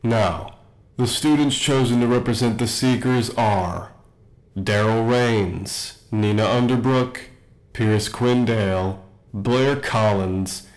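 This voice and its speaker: A man playing an academy principal who makes formal, measured announcements in an audio roleplay. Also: on the low side, darkish tone.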